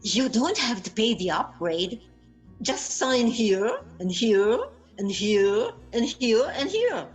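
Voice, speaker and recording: Woman playing slow, coaxing rental agent. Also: fairly high pitch, sing-song, soft background music, rough recording. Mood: persuasive. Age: older. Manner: persuasive sales patter. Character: smooth persuasive car rental agent. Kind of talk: amateur audio play acting.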